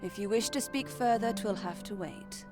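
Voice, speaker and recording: A woman's voice: mid-range voice, loud music behind the voice, close to an everyday voice. Woman acting a sly, imperious noblewoman. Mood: cool, dismissive. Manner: coy, haughty, measured, archaic formal phrasing. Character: poised, sly, teasing noblewoman, secretive and imperious. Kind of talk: video game cutscene acting.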